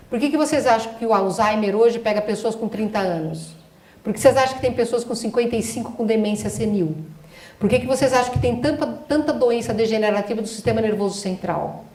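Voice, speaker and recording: A woman's voice: fairly high pitch, a little mellow. Emphatic woman lecturing in an echoey hall. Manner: emphatic, rhetorical questions to the audience. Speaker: spiritist doctor giving a lecture. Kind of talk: spiritual lecture to an audience.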